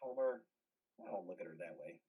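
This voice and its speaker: An actor playing a traumatized, bitter and weary combat veteran in a modern stage play reading, with a husky voice. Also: male voice, deep voice, expressive, fairly quick, phone-quality sound. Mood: subdued.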